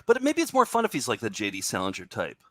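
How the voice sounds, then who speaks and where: A man hosts a loose group chat with a bright, forward voice. He is excitable and jokey, speaking casually. The sound is dry and close. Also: lowish voice, quick pace, no echo. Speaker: host of a cartoon comedy show.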